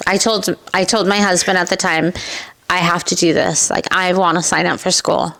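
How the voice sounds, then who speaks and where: A woman's voice: fairly low pitch, moderate pace, gravelly. A middle-aged woman with a Californian accent tells personal stories candidly as an interview guest, often acting out remembered remarks. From Southern California, United States. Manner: candid, animated retelling with acted-out quotes. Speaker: podcast guest, esthetician and business owner. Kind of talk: personal storytelling in a conversational interview.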